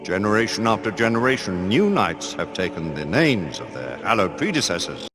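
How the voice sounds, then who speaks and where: An actor playing the solemn elder leader of a knightly order, speaking in grave, ceremonial oratory for a video game drama. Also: male voice, sits low, big pitch swings, normal speed, music in the background. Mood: mournful, formal.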